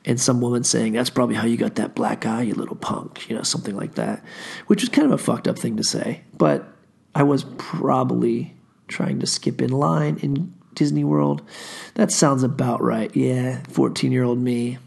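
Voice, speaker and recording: A stuffed-up man rambling through a loose, profane solo monologue, tossing in fillers as he wanders. His voice is gravelly and husky, with fry at the ends of phrases. He sounds congested, like he's fighting a cold. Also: medium pitch, steady tone, moderate pace, a little mellow. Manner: casual, profane, wandering storytelling with frequent fillers. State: stuffy nose, under the weather. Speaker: podcast host.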